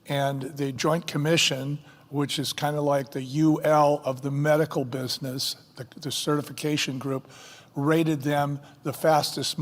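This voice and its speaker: A man's voice: on the high side, long reverb tail. A man who co-founded a company, speaking as a guest in a fireside chat and telling stories enthusiastically, with fillers.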